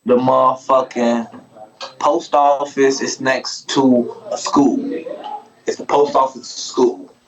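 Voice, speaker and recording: A man's voice: medium pitch, flat delivery, slow pace, dull audio. A man who is a podcast guest, telling a long casual story in a rambling, profane way and asking "you feel me" again and again.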